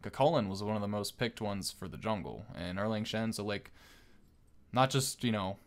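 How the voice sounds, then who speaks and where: A man with a fairly low voice hosts a gaming video. His commentary is casual and conversational, and he explains as he goes like someone talking a viewer through the details. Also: moderate pace.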